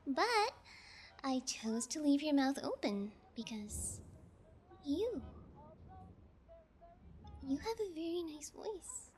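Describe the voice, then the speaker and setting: A woman's voice: sits high, big pitch swings, slow pace, long pauses, darkish tone, a little hiss or hum, full character voice. A woman voicing a playful, sociopathic young female fiend kidnapper in an ASMR roleplay, with sugary taunts and theatrical pouting. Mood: teasing, threatening.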